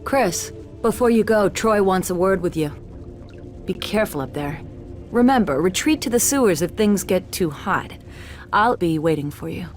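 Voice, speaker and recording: A woman playing a serious, steady resistance leader, giving earnest, controlled mission briefings in a video game. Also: medium pitch, moderate pace, soft background music, natural voice. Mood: earnest, urgent.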